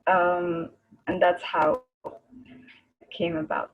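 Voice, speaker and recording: A woman with a low, breathy voice chats on a video call. She rambles and searches for words, laughing easily as she goes. The call audio sounds muffled and dull. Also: little pitch movement, very slow. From Egypt. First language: Arabic. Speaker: guest artist. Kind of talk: casual video call chat.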